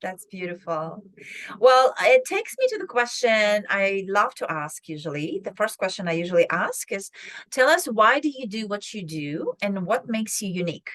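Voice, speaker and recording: A woman hosts an interview podcast and introduces her guest with effusive praise. Her voice sits at a medium pitch. The recording is dry and close. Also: big pitch swings, normal speed, fairly bright tone, dry recording. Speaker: podcast host. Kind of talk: interview hosting.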